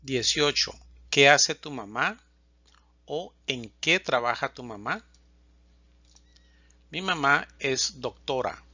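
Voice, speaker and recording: Slow, bright-toned man teaching Spanish. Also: medium pitch, expressive, no echo, highs cut off. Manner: reads questions and answers slowly and distinctly, pausing between lines. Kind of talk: slow, clear language lesson reading example dialogues.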